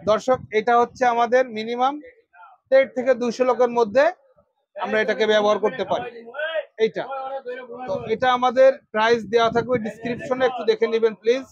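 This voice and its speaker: A man's voice: very high voice, fairly even intonation, normal speed, muffled recording. A man with a Bangladeshi accent presenting shop products to viewers, repeating phrases for emphasis. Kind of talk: product demonstration sales pitch.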